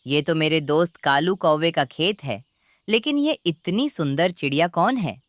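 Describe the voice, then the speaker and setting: A woman's voice: deep voice, animated, unhurried, dry recording, studio quality. A woman voicing a forward, insinuating male crow in a children's cartoon story. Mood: admiring. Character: brown male crow, forward, leering, wheedling.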